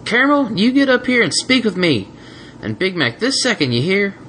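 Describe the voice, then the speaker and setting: A man voicing a stern, bossy farm mare with a Southern drawl, speaking high above his own voice. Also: very animated, moderate pace, pushed and bright, a little hiss or hum, heavily acted. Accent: Southern US drawl, put on. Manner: scolding, folksy.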